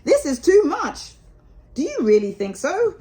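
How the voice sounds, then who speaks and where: A woman's voice: high voice, wildly swooping pitch, a little slow, mellow, voice pushed up, exaggerated voice. A woman voicing a naive, timid male mole in a storybook, eager and full of wondering questions. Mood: amazed. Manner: wondering, questioning, a little nervous.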